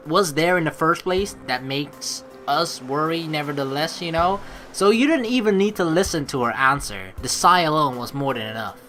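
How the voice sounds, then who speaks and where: A young man hosting a let's play, reading the story aloud and adding expressive, rambling commentary. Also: fairly high pitch, a little hiss or hum.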